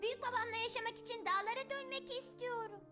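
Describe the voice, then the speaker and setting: Earnest homesick cartoon girl, very high and hesitant, over clear music. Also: fairly even intonation, fast. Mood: upset, pleading. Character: young orphan girl, earnest, anxious, homesick. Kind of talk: dubbed cartoon acting.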